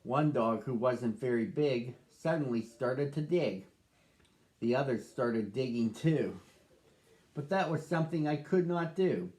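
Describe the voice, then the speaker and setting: A man's voice: mid-range voice, animated, unhurried, a little mellow, lightly acted. A man narrating as a sad, lonely young male dog in a children's storybook, reading earnestly. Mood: wistful.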